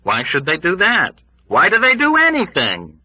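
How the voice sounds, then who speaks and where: Raised, agitated young soldier played by a man, shouting, muffled lo-fi audio. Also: high voice, huge pitch swings, normal speed, no echo, voice pushed up, full character voice. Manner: shouting with dread. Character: agitated young male soldier, dread-filled. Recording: dull lo-fi recording.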